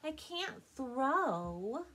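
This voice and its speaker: A small, timid boy duckling speaks in a high, hesitant voice with a slight huskiness in a children's storybook, as a woman puts him on. He draws his words out slowly and stumbles over his words. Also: very animated, fairly bright tone, full character voice.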